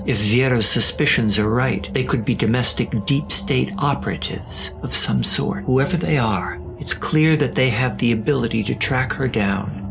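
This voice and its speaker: A man's voice: mid-range voice, creaky. A man narrates a scripted thriller drama in a mid-range voice. He tells the story with a wry edge, while prominent music plays behind him.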